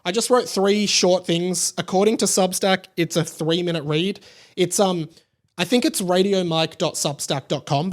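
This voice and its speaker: A man with an Australian accent hosting a podcast, chatting casually and informally in an emphatic, rambling way. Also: a little high. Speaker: podcast host. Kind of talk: casual solo podcast chat.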